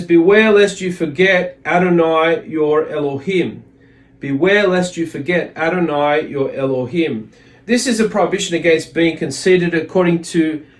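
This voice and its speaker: Higher-voiced man teaching religion. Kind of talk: religious lesson with readings from a text.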